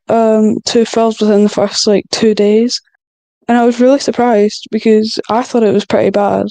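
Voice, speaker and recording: A woman's voice: mid-range voice, mellow, dry recording. A woman chatting casually as a podcast guest in a modest, hesitant way. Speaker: scriptwriter guest. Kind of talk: casual chat.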